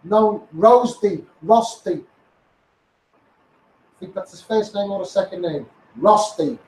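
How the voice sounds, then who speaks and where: Male Scouse campaigner, high voice, megaphone; emphatic repetitive rant, distant from the mic with echo. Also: takes it slowly, darkish tone. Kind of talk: impassioned monologue rant. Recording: echoey, distant, noisy megaphone recording.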